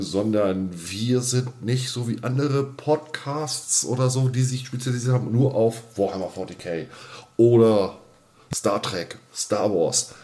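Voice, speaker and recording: Guy hosting a laid-out casual podcast, chatty, jokey, medium pitch, says stuff twice for emphasis. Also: darkish tone. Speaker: podcast host.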